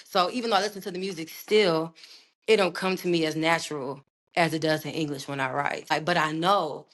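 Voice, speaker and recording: Young woman rapper type, slangy n swearing a lot, lowish voice, loose casual chat. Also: a little fast. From United States, raised partly in Puerto Rico. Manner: slangy, swearing, animated storytelling. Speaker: recording artist guest.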